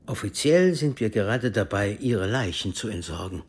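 An actor in a radio drama playing a cool, secretive intelligence officer who speaks formally and with measured control. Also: male voice, fairly low pitch, expressive, a little mellow, breathy edge. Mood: grave, measured. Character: cool secretive East German intelligence officer, frankly world-weary.

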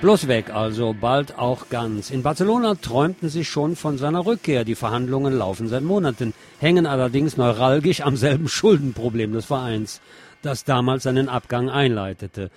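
A man's voice: medium pitch, expressive, normal speed, darkish tone, soft background music. A man presenting news and commentary on radio, reading with wry, sardonic asides.

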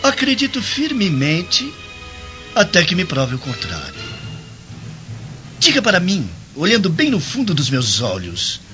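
Um ator de radionovela interpretando um nobre romano ciumento e esquentado, com um jeito acusador, teatral e sarcástico. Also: male voice, on the high side, sharp tone, heavily acted. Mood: challenging. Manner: accusing, theatrical, sarcastic. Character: jealous, hot-tempered Roman nobleman accusing his brother. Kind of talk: radio drama acting. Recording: dull lo-fi, music underneath.

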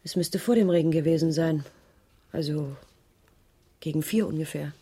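An actress playing a troubled, earnest woman witness in a German radio crime drama, giving halting testimony with protective defiance. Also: low voice, dark tone, breathy edge. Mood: shaken, halting.

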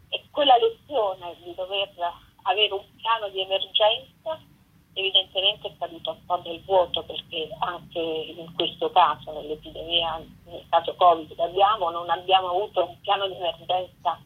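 A woman's voice: mid-range voice, slightly rough. A woman, speaking reflectively and emphatically at length in a spontaneous radio interview. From Campania, Italy. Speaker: interviewed photographer. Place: remote phone call to a radio show. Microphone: telephone. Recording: narrow, tinny phone line.

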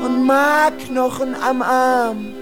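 An actress playing a hungry, lovesick, whimsical singer in a radio play, performing in a dreamy half-sung style. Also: female voice, sits high, very slow. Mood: playful, singing. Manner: dreamy, playful, repetitive sing-song. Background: loud plucked guitar music.

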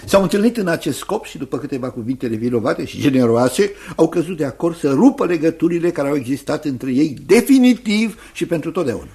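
An actor playing a fussy, kindly older guardian in a radio drama, deliberate and hemming as he talks. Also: on the high side, very animated, mellow. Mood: hesitant, deliberate. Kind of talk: Romanian radio theatre acting.

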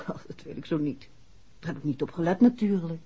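An actress playing a light-hearted, chatty wife in a 1960s Dutch radio play. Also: female voice, low voice, brisk, mellow, slightly rough. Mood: airy. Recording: dull, muffled vintage radio recording.

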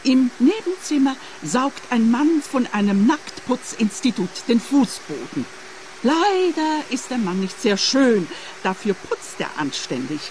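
A woman's voice: a little high, very animated, a little slow, a little mellow, a little hiss or hum, low-quality recording. An actress playing a haughty, scheming former landlady in a radio play, prim and cutting.

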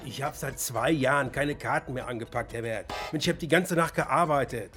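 A man's voice: mid-range voice, normal speed, a bit bright, soft background music, natural voice. An actor playing a harried, middle-aged man in trouble in a radio drama, talking in a colloquial, exasperated way. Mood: agitated. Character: harried, broke man in trouble with police, a father. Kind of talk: naturalistic radio drama acting.

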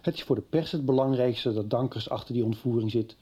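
A man playing a calm, reassuring middle-aged friend in a radio soap. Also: mid-range voice, fairly quick, slightly rough, lightly acted.

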